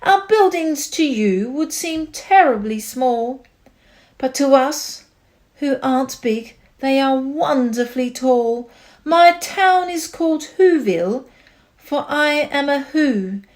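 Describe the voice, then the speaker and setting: Lady doing a little tiny mayor guy, grateful n friendly, piping high voice, kids storybook. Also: animated, slow, darkish tone. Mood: proud, thankful. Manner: piping, effusive.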